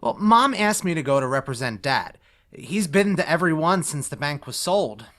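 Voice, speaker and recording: A man's voice: fairly high pitch, very animated, moderate pace, a bit bright. A man playing an idealistic, noncommittal young man, casual and hedging, in a musical theatre read-through. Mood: explaining, sheepish. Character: Bobby, idealistic young man, evasive about commitment. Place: recorded remotely online.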